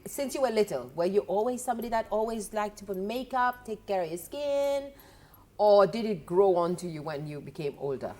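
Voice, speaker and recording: A woman's voice: mid-range voice, animated, brisk, mellow. A woman hosts a morning radio talk show. Her voice rises and falls a lot as she asks friendly questions. She slips easily between Creole and English. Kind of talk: casual radio interview.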